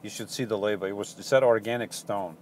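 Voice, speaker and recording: A man with a Portuguese accent, a van-life vlogger casually answering viewer questions in a halting, rambling way full of ums and restarts. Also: fairly low pitch, fairly even intonation. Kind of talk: casual live Q&A chat.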